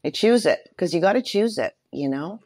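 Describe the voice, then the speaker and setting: A woman's voice: on the low side, expressive, moderate pace, dark tone, husky, dry recording. A middle-aged woman speaking earnestly and reflectively in a casual interview, often affirming what she hears. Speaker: podcast guest, performer and mentor.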